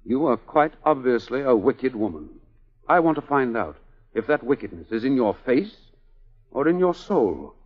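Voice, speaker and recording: An actor playing a suave, obsessed male surgeon in a 1940s radio drama, speaking in a deliberate, commanding way. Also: mid-range voice, very animated. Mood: intense, grave. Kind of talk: 1940s radio melodrama acting. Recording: muffled old 1940s radio recording.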